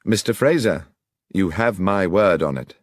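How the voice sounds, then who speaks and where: A man voicing a brisk, courteous gentleman detective with a formal, precise and commanding manner, as in an audiobook. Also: low voice, expressive, no echo. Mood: urgent.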